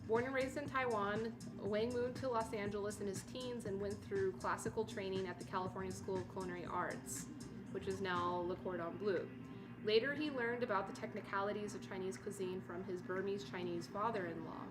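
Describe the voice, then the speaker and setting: A woman's voice: medium pitch, fairly quick. Woman host, reads an article aloud steadily, drops into chatty asides, mid-range voice, music running under her. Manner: reads steadily, breaks off into chatty, surprised comments. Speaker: livestream host. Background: music playing underneath.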